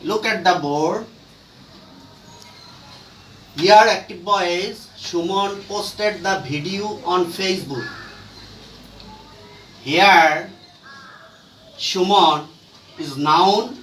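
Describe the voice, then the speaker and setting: A male teacher with a Bangladeshi accent speaks slowly in a high, bright voice. He lectures formally, phrase by phrase with deliberate breaks. The recording is echoey and picked up from a distance. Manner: deliberate, halting phrase-by-phrase delivery. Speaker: English grammar teacher. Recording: echoey room, distant mic, faint noise.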